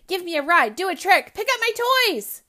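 A woman's voice: high voice, expressive, slow, a bit bright, full character voice. Woman putting on Ellie, a spoiled, bossy little girl. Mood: demanding. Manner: whiny and bossy.

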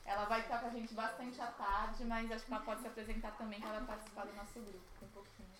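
A woman's voice: a little high, little pitch movement, fast. Brazilian woman facilitating in an echoey room. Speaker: workshop facilitator. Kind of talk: casual informal group facilitation. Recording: noisy, echoey room with ambient sound.

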